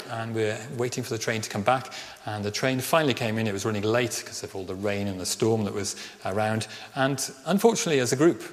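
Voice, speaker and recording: A middle-aged man from Birmingham with a slight Birmingham accent touched by Welsh Ceredigion tones, preaching a sermon as a vicar. He tells a personal story earnestly and slows down on key phrases. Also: mid-range voice. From Birmingham, England. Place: church. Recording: reverberant church hall.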